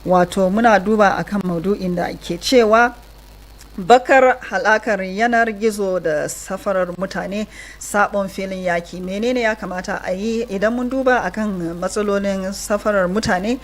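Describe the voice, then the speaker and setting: A woman's voice: mid-range voice, normal speed. A woman with a Nigerian accent hosting a bilingual radio interview programme. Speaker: radio host.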